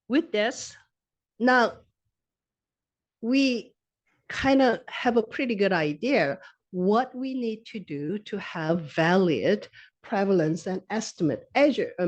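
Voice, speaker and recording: A middle-aged woman with a Korean accent presents a research talk at a medical lecture. Her delivery is steady and explanatory, plain-spoken, with occasional self-corrections as she works through points. Her pitch sits in the middle range for a woman. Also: takes it slowly, pauses often. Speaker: physician researcher presenting at grand rounds. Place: lecture hall.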